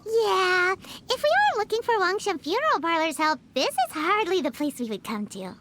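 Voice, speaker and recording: Game fairy companion: tiny girl, extremely high voice, wildly animated, bossy and skittish, music underneath. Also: moderate pace, noisy background. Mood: flustered. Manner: refers to herself in third person, chattery. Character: tiny childlike female fairy companion, excitable, bossy, easily scared. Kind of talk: animated video game voice acting.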